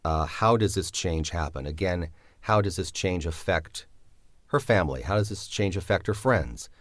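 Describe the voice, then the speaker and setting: A man with a low voice explains in an interview how he shaped his story. He speaks thoughtfully and pauses with fillers. The recording is dry and close. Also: expressive, no echo. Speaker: series writer and creator. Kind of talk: interview answer.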